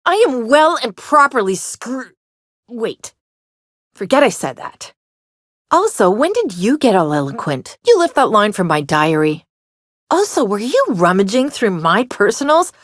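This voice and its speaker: A woman's voice: fairly high pitch, big pitch swings, measured pace, no echo, clean studio sound. An actress voicing a sardonic, flippant young woman who wants to be an outlaw in a video game, with a theatrical, wry delivery. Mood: exasperated, flustered. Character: sardonic, serial-obsessed young woman, would-be frontier outlaw. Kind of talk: video game character dialogue.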